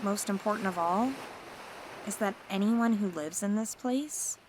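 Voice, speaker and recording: A woman voicing a seductive, scheming mermaid in an ASMR roleplay, talking in a teasing, flattering, coaxing way. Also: medium pitch, measured pace, background noise, lightly acted.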